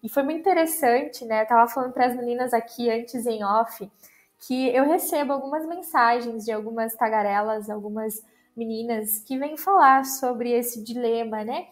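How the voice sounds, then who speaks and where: High-voiced chatty woman hosting a podcast. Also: normal speed. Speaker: podcast host. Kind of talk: casual podcast chat.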